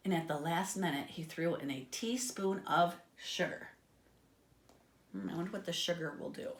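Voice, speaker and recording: A woman reading a children's story aloud in an expressive, dramatic way, with asides to her listeners. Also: lowish voice, normal speed. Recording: echoey, roomy sound.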